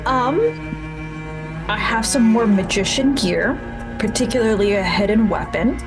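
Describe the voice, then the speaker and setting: A woman with a medium-pitched voice describes her moves as a tabletop player. Her explanation is casual and hesitant as she builds ideas aloud, over clearly audible music. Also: a little slow. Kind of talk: casual explanation of game actions. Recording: music under the voice.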